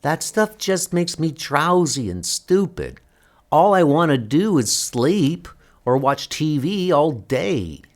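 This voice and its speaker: A defiant, troubled teenage girl, acted out by a man for a comic dub. The voice is pushed higher and moves around a lot, sounding bitter and defensive. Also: very animated, slow pace. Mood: defiant, irritated. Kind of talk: comic book dub acting.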